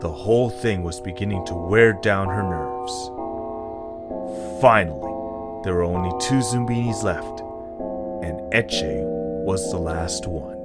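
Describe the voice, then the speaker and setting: A man's voice: deep voice, unhurried, loud music behind the voice. A man narrating a scripted adventure story in a dramatic storytelling style.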